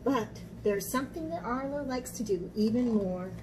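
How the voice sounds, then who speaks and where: A woman's voice: fairly high pitch, expressive, normal speed, noisy background. A woman teaching young children in a read-aloud and craft lesson style, explaining things simply and often checking in with little questions. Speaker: children's art teacher and storybook reader.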